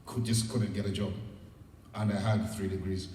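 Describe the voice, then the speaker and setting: A middle-aged man with a Ugandan accent speaks in a low, mellow voice, heard from a distance in a reverberant hall. He gives an informal, encouraging talk as a guest speaker, candid and conversational. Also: fairly even intonation, moderate pace. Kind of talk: informal motivational public talk. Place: large indoor event room. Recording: echoey hall, distant mic, noisy.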